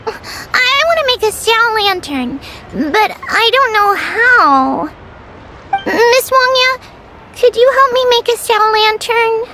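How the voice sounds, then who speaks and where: Tiny polite little girl, game character, really high innocent voice, kinda hesitant, noisy behind. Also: animated, takes it slowly, exaggerated voice. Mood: shy, pleading. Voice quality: childlike. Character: Chang Chang, sweet polite little village girl. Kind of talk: video game acting.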